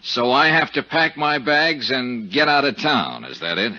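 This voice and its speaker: Hard-boiled, forceful radio investigator. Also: male voice, mid-range voice, animated, a little slow. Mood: probing, suspicious. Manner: sardonic, probing, hard-boiled. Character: tough, shrewd male insurance investigator. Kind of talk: 1950s radio drama acting and first-person narration. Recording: dull 1950s radio recording.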